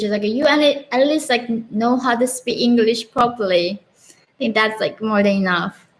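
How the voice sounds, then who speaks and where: A woman's voice: on the high side, rough recording. A young woman from North Korea with a Korean accent, casually answering live chat questions as a channel host, earnest and full of "like" fillers. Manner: earnest, frequent "like" fillers, laughs between chat messages.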